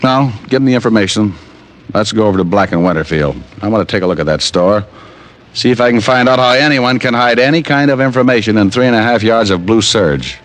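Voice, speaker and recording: An actor playing a tough, wry, wisecracking private detective in an old-time radio drama, with clipped hard-boiled delivery. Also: male voice, on the low side, lively intonation, brisk, a little hiss or hum, natural voice. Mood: brisk, sardonic. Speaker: lead actor and narrator. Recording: vintage radio recording with music.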